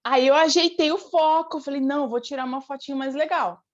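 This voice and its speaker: A Brazilian woman with a fairly high voice talks casually as a guest. She tells her stories in an animated, informal way. The sound is a little dull and lo-fi. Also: brisk. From Brazil, born in Rio de Janeiro state, raised in Paraná from age eight. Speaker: singer, interview guest. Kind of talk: casual chat, storytelling.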